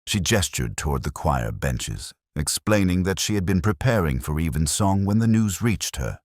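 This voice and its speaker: A man narrating a scripted first-person detective mystery in a measured, formal, literary manner. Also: rumbling low, no room sound, clean studio sound.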